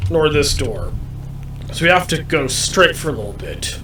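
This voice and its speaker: A man giving a gameplay walkthrough, deliberately explaining things to viewers and often repeating himself. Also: fairly high pitch, sing-song, bright, forceful tone. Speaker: gamer commentating a playthrough. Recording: roomy, distant mic, faint background noise.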